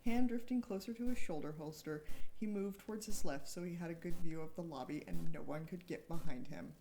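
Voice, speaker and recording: A woman's voice: fairly low pitch, a little fast, gravelly, mellow, slight background noise. A woman giving a dramatic, informal reading of a thriller novel as one of its authors. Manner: informal, breaks off to joke and correct slips. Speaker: co-author reading from own book.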